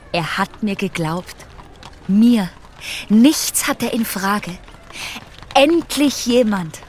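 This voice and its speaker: A woman's voice: mid-range voice, a little hiss or hum. An actress playing a restless, defiant teenage girl musing to herself in a radio drama monologue. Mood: elated, triumphant.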